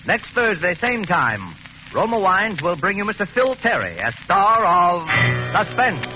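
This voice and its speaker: A man making a formal 1940s radio announcement in a crisp, declamatory manner. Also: high voice, sing-song, measured pace, over music. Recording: muffled old radio broadcast with hiss.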